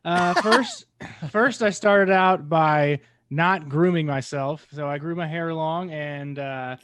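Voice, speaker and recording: A man's voice: fairly high pitch, slow pace, a bit bright, no room sound. A young man telling a casual story as a podcast co-host, close on a desk microphone in a small room at home. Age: young adult. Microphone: close desk microphone with headphones.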